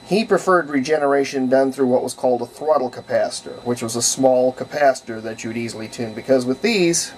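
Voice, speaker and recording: A man's voice: mid-range voice, brisk, hardly any pauses, low-quality recording. An American man giving a casual hands-on demonstration as a hobbyist, talking in a folksy, colloquial way and thinking aloud. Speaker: hobbyist demonstrating homemade radio.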